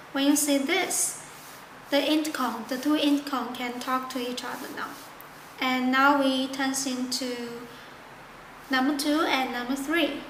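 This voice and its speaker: A high-voiced woman demonstrates a product step by step. She speaks slowly in halting, simple non-native phrasing. The recording has clear room echo. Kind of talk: step-by-step tutorial narration. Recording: echoey room with loud background noise.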